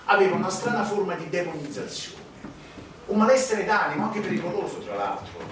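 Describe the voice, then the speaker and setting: An actor playing a sardonic storyteller in a theatrical monologue, declaiming with dramatic pauses and rhetorical questions. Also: male voice, high-pitched, animated, fairly bright tone. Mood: declamatory. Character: sardonic male storyteller recounting a dark financial scandal. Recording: echoey hall, distant mic, noisy.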